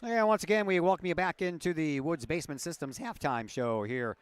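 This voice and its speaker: A hoarse man announcing a high school basketball halftime show from the press box, reading stats and sponsor ads in a chatty way. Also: a little fast, dry recording, clean studio sound. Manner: chatty, self-deprecating asides between stat rundowns. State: hoarse, losing his voice. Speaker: play-by-play announcer. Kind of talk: halftime sports broadcast and read ads. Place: gym press box, alone.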